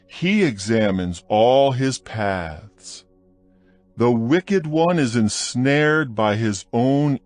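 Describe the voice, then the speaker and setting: A man reading scripture aloud in a slow, measured, solemn dramatized narration. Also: mid-range voice, no echo. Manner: slow, measured, solemn recitation with lively rises on appeals. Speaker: Bible audio narrator.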